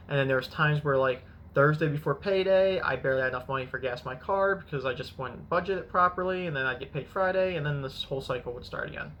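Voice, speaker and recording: A man's voice: on the high side, pushed and bright, slight background noise. A man in his thirties telling his own money story in a casual, rambling vlog, often correcting himself mid-sentence. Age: 30s. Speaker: finance vlogger.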